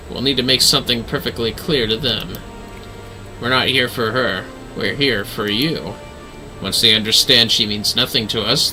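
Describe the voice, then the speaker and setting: A man voicing a calm, ominous dark knight mentor from a video game, in a measured, dryly formal and theatrical way. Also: mid-range voice, rough recording. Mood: wry, calculating. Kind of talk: video game dialogue read aloud.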